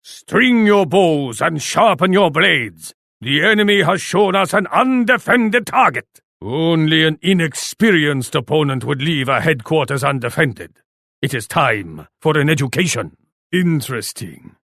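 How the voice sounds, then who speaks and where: An actor playing a proud, imperious Japanese warlord in a video game, speaking formally. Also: male voice, fairly high pitch, lively intonation, unhurried, creaky, fairly bright tone, no echo, clean studio sound, exaggerated voice. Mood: calculating. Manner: imperious, formal, speaks of himself in third person. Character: proud, haughty Japanese warlord commanding his armies. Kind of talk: video game character voice lines.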